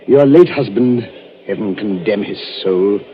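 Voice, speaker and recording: A man playing a middle-aged devoted, gently reassuring husband in 1940s radio drama style. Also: lowish voice, a little slow, close to an everyday voice. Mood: anxious reassurance. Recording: muffled 1940s radio recording.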